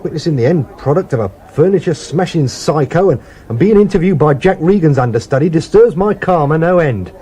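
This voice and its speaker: Wry, lively English busker. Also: male voice, a little high, fairly quick, slightly rough. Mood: rattled, grumbling. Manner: wry, slangy, anxious grumbling. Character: nervy, wry street busker, grumbling boyfriend. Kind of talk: British radio drama acting.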